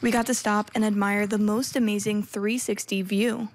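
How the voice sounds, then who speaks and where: A woman's voice: mid-range voice, little pitch movement, normal speed, slight background noise. A young woman news reporter narrating a forest hike in an upbeat, scripted way, also speaking outdoors on camera. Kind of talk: voice-over narration and on-camera stand-up.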